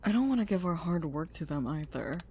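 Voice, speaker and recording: Woman acting as an earnest scientist, lowish voice, slightly husky. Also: animated, a little fast, mellow, heavily acted. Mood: calm, businesslike. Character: earnest, curious female scientist who built a robot. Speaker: voice actor in an audio roleplay. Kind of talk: scripted audio drama acting.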